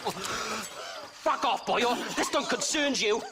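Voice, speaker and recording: A man's voice: extremely high pitch, expressive, takes it slowly, background noise, exaggerated voice. A man playing a sneering Welsh outlaw in a video game, with a sing-song Welsh accent and belligerent manner. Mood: menacing, mocking. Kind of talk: video game cutscene acting.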